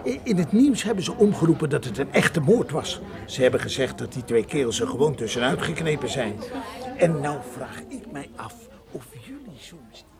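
An actor playing a folksy, excitable café proprietor in a radio play, garrulous and colloquial in his storytelling. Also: on the high side, normal speed, creaky, crowd noise. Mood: chatty. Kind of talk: radio drama acting.

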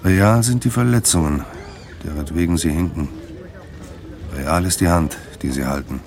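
An actor as a wry, hard-boiled storyteller, giving measured literary narration in a radio drama. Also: male voice, low-pitched, a little mellow, some chatter behind. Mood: measured, ironic.

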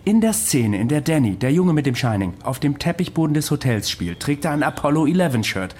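An actor playing an eccentric, self-assured psychiatric patient who lectures insistently in a radio drama. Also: male voice, a little high, sing-song, a little fast, darkish tone, slight background noise. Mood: insistent, lecturing. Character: eccentric male psychiatric patient, insistent conspiracy storyteller, cocky. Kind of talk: German radio drama acting.

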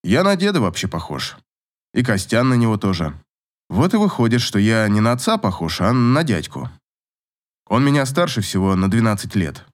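The domineering man in a late-night romance audiobook, as a male narrator acts him, pinning his beloved down with low, insistent, authoritative orders. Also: big pitch swings, moderate pace. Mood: teasing. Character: possessive, domineering male lover, commanding and evasive.

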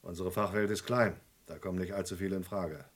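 Shady informant, radio crime drama, lowish voice, clipped, guarded, matter-of-fact. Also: male voice, fairly even intonation, brisk. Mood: terse, confiding. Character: terse, secretive male underworld informant. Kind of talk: German radio crime play acting.

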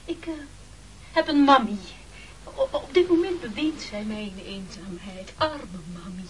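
A man's voice: very high-pitched, animated, unhurried, fairly bright tone, exaggerated voice. An actor playing a timid, childish mummy's boy among castaways, meek and stammering, in an absurd comic radio play. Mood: plaintive. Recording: noisy old radio recording.

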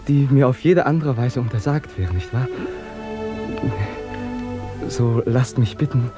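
An actor playing a gallant, devoted young knight in a German literary radio play, courtly and fervent in manner. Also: male voice, medium pitch, loud music behind the voice, natural voice. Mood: fervent pleading. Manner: courtly, fervent, halting when moved.

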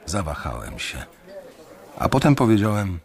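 A man's voice: low voice, wildly swooping pitch, measured pace, vocal fry. A man narrating a radio drama in the first person, tense and brooding. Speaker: narrator and lead character.

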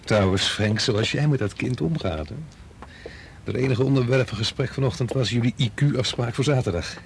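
Radio drama part: condescending boss male actor, mid-range voice, brisk authority, slight ambient noise. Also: mid-range voice, natural voice. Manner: authoritative, matter-of-fact.

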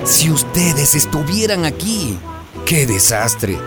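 Male radio drama storyteller, folksy and dramatic. Fairly high, expressive pitch over music. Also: slow pace. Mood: grave. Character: folksy male storyteller recounting a neighbourhood's disaster. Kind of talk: scripted radio drama narration. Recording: music playing behind.

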